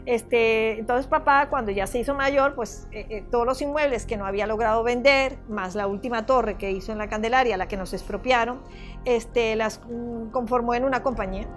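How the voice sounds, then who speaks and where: An older woman from Venezuela recounts her experience as a property owner in an interview. Her voice sits fairly high, and she often repeats herself and circles back. Soft music plays underneath. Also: moderate pace. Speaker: property owner giving testimony. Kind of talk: personal testimony in an interview.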